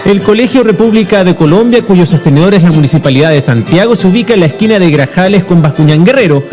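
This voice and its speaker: A man's voice: high voice, expressive, fast talker. A man narrating a scripted news documentary as a reporter and putting questions to people. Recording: loud guitar music under the voice. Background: guitar music.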